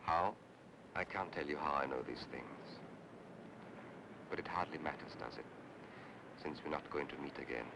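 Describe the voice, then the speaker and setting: An actor playing a suave, mysterious psychic in 1940s film noir style, with a measured, theatrical, insinuating manner. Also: male voice, gravelly. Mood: seductive, musing. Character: suave, mysterious male psychic consultant, softly seductive and insinuating. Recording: noisy old film soundtrack with rumble.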